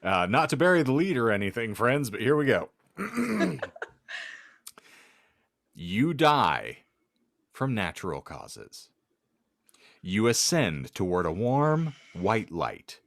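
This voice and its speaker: A man's voice: medium pitch, huge pitch swings, takes it slowly, gravelly, pauses often, a bit bright, no room sound, clean studio sound. A man hosting a casual comedy podcast, talking in a wry, wordy way with florid vocabulary. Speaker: podcast host.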